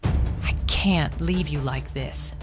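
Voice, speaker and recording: A woman's voice: deep voice, takes it slowly, music in the background. An actress playing a determined, sorrowful medieval woman with a grave, earnest delivery in an audio drama. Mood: anxious resolve. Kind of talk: historical audio drama acting.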